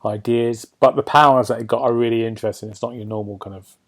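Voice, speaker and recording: A man's voice: medium pitch, steady tone, quick pace. A man hosting a podcast, chatting casually about games and television in a rambling, enthusiastic way. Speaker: podcast host.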